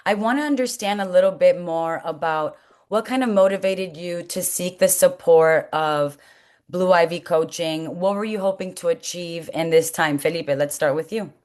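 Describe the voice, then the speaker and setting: A woman with a fairly low voice is hosting a webinar. She speaks in an encouraging, semi-formal manner, like a coach leading a group discussion. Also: moderate pace, darkish tone. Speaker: host, college coaching staff.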